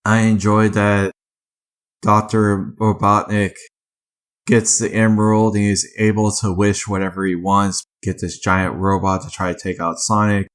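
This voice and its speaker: A man's voice: on the low side, level tone. A man giving casual, off-the-cuff review commentary as a video host, rambling and often correcting himself mid-sentence. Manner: rambling, self-correcting, halting. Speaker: host of a movie ranking video.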